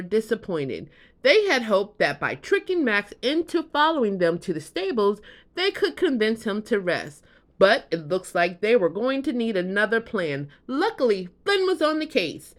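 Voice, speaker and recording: A woman narrates a children's bedtime story. Her voice sits fairly high and moves with lots of animated intonation, in an expressive storytelling style.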